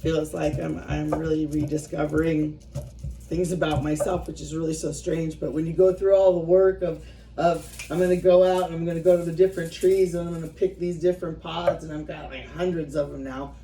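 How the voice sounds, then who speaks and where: A woman's voice: low-pitched, steady tone, fairly quick, creaky, dark tone, recorded from a distance, a little hiss or hum, poor audio. A woman in her fifties chatting casually to her viewers as she works, rambling and self-deprecating, often trailing off mid-thought. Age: 50s. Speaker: vlogger. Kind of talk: casual chat while working by hand.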